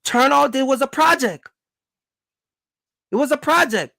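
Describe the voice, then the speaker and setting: A man's voice: very high-pitched, animated, measured pace, gravelly, sharp tone, no room sound. A man hosting a casual Q&A for viewers, giving business advice in colloquial, slangy phrasing and repeating his points for emphasis. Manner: repetitive, emphatic urging.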